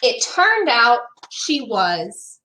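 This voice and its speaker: Animated, high-pitched woman reading a storybook. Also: very slow, a little hiss or hum, poor audio. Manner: expressive, emphatic storytelling to young children. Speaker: teacher reading a children's storytime. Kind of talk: animated picture-book read-aloud.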